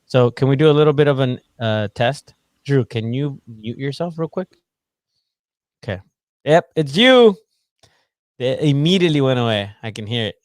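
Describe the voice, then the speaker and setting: A man's voice: medium pitch, expressive, no echo, clean studio sound. Playful man teasing on a podcast. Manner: playful, quick to laugh and tease. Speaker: podcast co-host. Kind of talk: casual podcast chat. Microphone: podcast mic on a remote call, wearing headphones.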